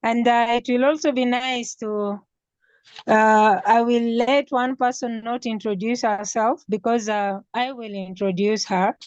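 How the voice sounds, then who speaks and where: A woman from Kenya hosting an online webinar, speaking in a measured way with pauses and fillers. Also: a little high, steady tone, a little mellow, no echo. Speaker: webinar host. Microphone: video call. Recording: dull, lo-fi video call audio.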